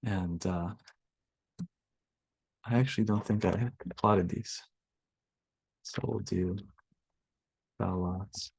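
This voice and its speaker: Low flat-voiced man teaching code. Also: takes it slowly, gravelly, pauses often, breathy edge, no echo, rough recording. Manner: relaxed, filler-heavy explaining. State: slightly raspy from allergies. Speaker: workshop instructor. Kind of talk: screen-share coding tutorial.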